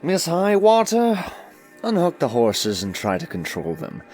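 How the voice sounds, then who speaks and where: Man playing an imperious storybook lord, widely swooping pitch, slow formal commands, theatrical. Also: huge pitch swings, a little mellow, faint music behind. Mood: commanding. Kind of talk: dramatised audiobook character dialogue.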